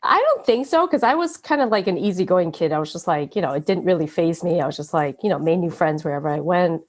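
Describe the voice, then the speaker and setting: Woman, American accent, lowish pitch, casual author interview, informal conversational phrasing. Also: lively intonation, fast talker. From born in Taiwan, grew up in the United States from age seven. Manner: informal, frequent "like" and "you know".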